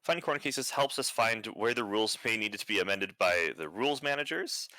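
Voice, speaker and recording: A young man presenting at an online conference, talking in a flat, near-monotone way with many fillers and restarts. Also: on the low side, a little fast, no room sound, poor audio.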